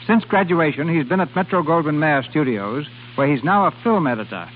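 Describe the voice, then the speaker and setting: A man's voice: on the high side, expressive, brisk. A man announcing a scripted vintage radio program in a 1940s broadcast style. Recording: hissy, tinny old radio broadcast.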